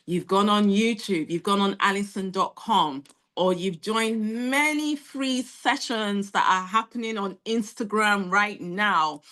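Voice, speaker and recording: A woman's voice: mid-range voice, a bit bright. A woman presenting an online career webinar, talking straight to her audience in a direct and encouraging way. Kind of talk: motivational webinar talk.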